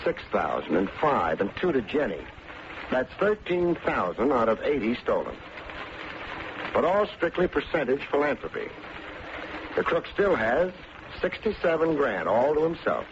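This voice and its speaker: An actor playing a cocky, shrewd private detective with wry, slangy, clipped delivery in an old-time radio drama. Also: male voice, medium pitch, very animated, measured pace, long pauses. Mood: confident, accusing. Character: wisecracking, hard-boiled male private detective, cocky and shrewd. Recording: muffled, noisy vintage radio recording.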